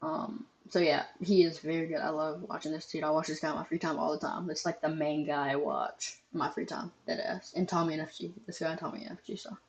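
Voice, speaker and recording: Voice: medium pitch, little pitch movement, brisk, vocal fry, hardly any pauses, slight background noise, low-quality recording. A young adult reaction host commenting casually, rambling with many ums and casual swearing.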